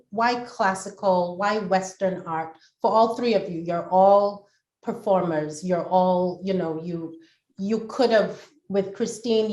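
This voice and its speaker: A woman moderating a panel, asking questions in a slow, encouraging way. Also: mid-range voice, a little mellow. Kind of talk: panel discussion. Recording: echoey, dull lo-fi sound.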